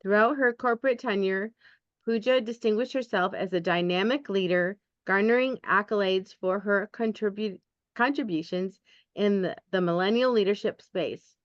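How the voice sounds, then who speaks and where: Brisk woman host on dull call audio. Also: mid-range voice, no room sound. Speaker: podcast host. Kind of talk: reading a guest bio, then interviewing. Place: room indoors. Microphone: video call.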